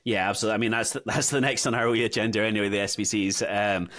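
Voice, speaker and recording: A man's voice: fairly low pitch, steady tone, very fast, fairly bright tone, no room sound. A man with a half English, half Scottish accent, chatting casually and conversationally as host of a gaming podcast.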